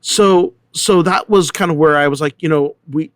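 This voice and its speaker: A man's voice: a little high, moderate pace, clean tone, no echo, clean studio sound. A middle-aged American man talking casually as an interview guest, rambling and often hesitating and correcting himself. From San Diego, United States. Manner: rambling, animated storyteller with many hesitations and self-corrections. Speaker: theater artistic director, interview guest.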